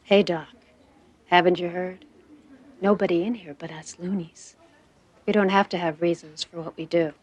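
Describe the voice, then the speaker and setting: An actress playing a fearful, obsessive woman in psychiatric care in a television drama, with a husky voice and a guarded, brittle manner. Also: lowish voice, steady tone, normal speed, long pauses, a little mellow, dull audio, natural voice. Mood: wry, subdued. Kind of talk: naturalistic television drama dialogue.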